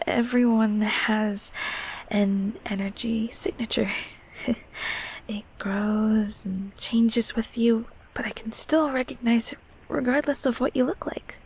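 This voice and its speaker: A woman voicing a gentle, shy childhood closet creature in an intimate comfort roleplay, speaking softly and hesitantly in a breathy half-whisper. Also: a little high, exaggerated voice, rough recording. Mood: warm, nostalgic. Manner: hesitant, halting, tender.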